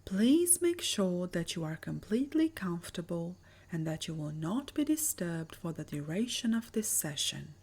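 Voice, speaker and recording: A woman with a soft, breathy voice narrating a guided meditation in a soothing, measured manner. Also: fairly low pitch, sing-song. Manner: soothing, measured, breathy delivery with lingering pauses.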